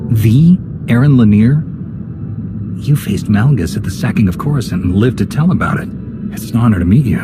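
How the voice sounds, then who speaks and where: An actor playing a resolute, courteous Jedi commander in a video game, steady and earnest. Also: male voice, on the low side, normal speed, close to an everyday voice. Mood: friendly, respectful. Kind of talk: scripted video game voice acting. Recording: loud music under the voice.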